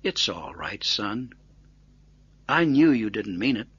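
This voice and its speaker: A gentle old grandfather of a storybook, voiced by a male narrator, soft and forgiving. He sounds slightly husky and unhurried, quietly resigned, on a dull recording. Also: on the low side, big pitch swings, dry recording, full character voice.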